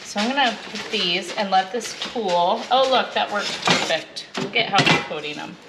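A woman in her thirties walks through a home recipe in a relaxed, chatty way, explaining each step as she goes. Her voice sits at an ordinary middle pitch. Pots, pans and cutlery clatter clearly around her in the kitchen. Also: normal speed, heavy background noise. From Alaska. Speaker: homestead vlogger. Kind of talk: casual cook-along vlog. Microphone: handheld or mounted camera, moving around. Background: clattering pots, dishes and cutlery.

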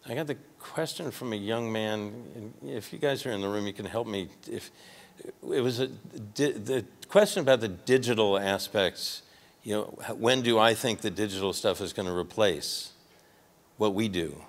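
A man's voice: lowish voice, normal speed. An older man with a Midwestern American accent giving a casual, folksy conference talk from a podium with a handheld mic, often restarting his sentences. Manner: conversational, folksy, trailing restarts. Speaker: award recipient speaker. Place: large hotel ballroom stage. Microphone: handheld microphone at a podium.

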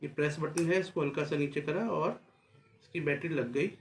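A man's voice: fairly high pitch, fairly even intonation, moderate pace. A man giving a casual hands-on unboxing, recorded across a desk in a small room. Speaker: vlogger presenting a product unboxing. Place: small furnished office room, indoors. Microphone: camera a few feet away across a desk. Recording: roomy echo, faint background noise.

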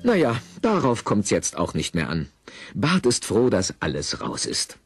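A man with a husky voice narrating an audio drama in a wry, playful storytelling manner. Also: lowish voice, wildly swooping pitch, unhurried, loud music behind the voice.

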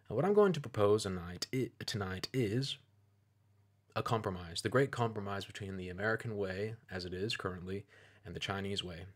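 A man with a low, deep voice delivers video commentary over clips. He speaks in a measured, deliberate way, building his points in steady, persuasive phrases.

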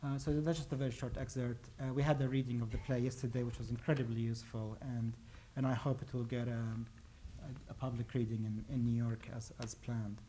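A man's voice: medium pitch, little pitch movement, brisk, mellow, a little hiss or hum. A man in his forties from Iraq, a playwright giving a talk about his plays, hesitant with many restarts. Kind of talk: lecture on his own plays. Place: lecture stage before a pale wall.

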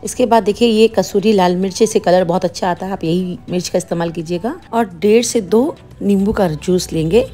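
Mellow-voiced woman teaching a recipe. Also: medium pitch. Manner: patient, explains each step plainly. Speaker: cooking channel host. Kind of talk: step-by-step cooking instructions.

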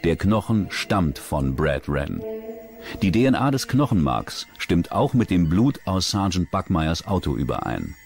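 A man narrating a true-crime documentary in a measured, serious scripted delivery. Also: low-pitched, big pitch swings, creaky, darkish tone, soft background music.

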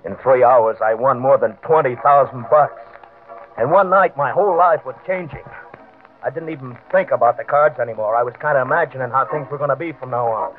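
A man's voice: medium pitch, brisk, lightly acted. An actor playing a desperate, nervous small-time gambler telling of his downfall in colloquial slang, in an old-time radio crime drama. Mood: brooding. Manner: colloquial, tough-guy slang, stammering under pressure. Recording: vintage radio, narrow band, faint music.

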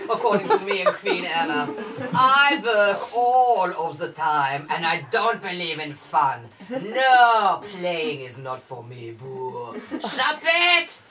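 A woman voicing a vain, anxious, melodramatic male king of fashion in a puppet show, pompous and theatrical. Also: fairly low pitch. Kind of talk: live puppet show performance. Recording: distant camera mic, audience noise.

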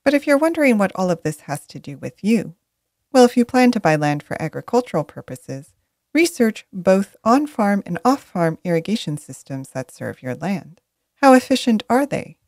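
A woman narrating a scripted educational video in a lively, emphatic way, stressing questions. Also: mid-range voice, moderate pace, no echo, clean studio sound. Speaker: presenter of land-buying explainer videos.

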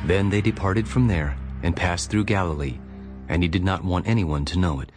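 A man narrating a dramatized audio Bible as a solemn, measured storyteller. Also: low voice, natural voice. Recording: music bed behind narration.

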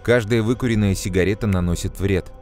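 A man voicing a deep-voiced calm cartoon scientist. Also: faint music behind, natural voice. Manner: measured, instructive, earnest. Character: calm adult male scientist explaining to children. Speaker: explainer. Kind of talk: scripted educational narration.

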